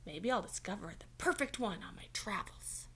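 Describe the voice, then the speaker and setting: A woman voicing a thoughtful, kindly grown-up male mole in a children's storybook, speaking in a measured manner. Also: medium pitch, lively intonation, a bit bright, husky, whispered.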